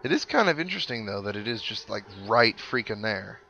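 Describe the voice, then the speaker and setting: A man's voice: on the low side, big pitch swings, brisk, vocal fry, bright, forceful tone, a little hiss or hum, poor audio. A man giving casual commentary over a video game playthrough, thinking aloud with mild exclamations. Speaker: gaming video host. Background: video game music.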